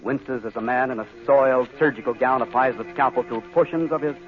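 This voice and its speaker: A man's voice: mid-range voice, fairly even intonation, fairly quick, faint music behind. A man reading dramatic scripted narration in 1940s radio style. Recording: dull, noisy 1940s radio recording.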